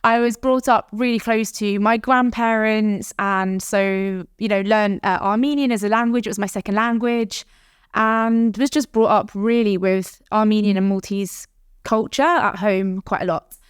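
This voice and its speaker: A woman in her thirties from South London with a London accent, a startup founder telling her story in a podcast interview, chatty and quick to laugh. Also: mid-range voice, steady tone, smooth, no room sound, studio quality. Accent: London English.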